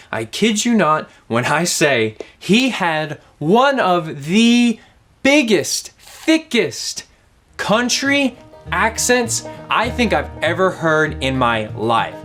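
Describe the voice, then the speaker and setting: Young southern guy doing a kids object lesson, super expressive, playful questions, quiet music in back. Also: a little high. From Louisiana. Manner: animated, playful, asks questions. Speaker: kids ministry teacher.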